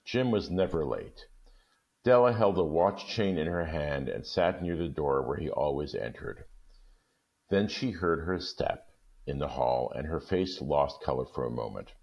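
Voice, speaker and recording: Brief: male story reader, very deep and gravelly, lively storytelling, dull lo-fi recording. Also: a little slow. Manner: expressive, lively. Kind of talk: storytelling narration.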